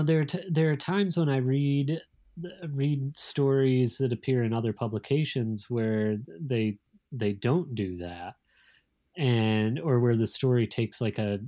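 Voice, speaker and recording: A man talking casually as an interview guest, thoughtful and explanatory, often halting as he works through his point. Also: medium pitch, dark tone. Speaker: magazine editor, interview guest.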